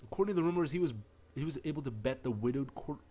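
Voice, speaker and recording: A man with a mid-pitched voice hosts a casual let's play. He reads the story text aloud as narrator and breaks off into playful, irreverent asides of his own. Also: expressive, very fast, dark tone, muffled recording. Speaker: gaming video host.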